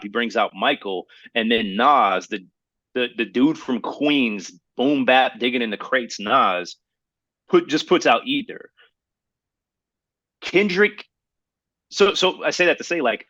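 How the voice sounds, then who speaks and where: A man co-hosting a podcast in casual hip-hop debate, slangy, building his points haltingly and repeating phrases as he goes. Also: mid-range voice, bright, forceful tone, no echo. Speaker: podcast co-host.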